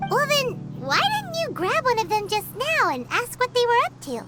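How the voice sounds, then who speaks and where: A woman voicing a tiny, childlike, curious and excitable fairy companion in a video game, squeaky and exclamatory. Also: moderate pace, over loud music. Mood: curious, chatty. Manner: squeaky, exclamatory, refers to self in third person. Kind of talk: video game character dialogue.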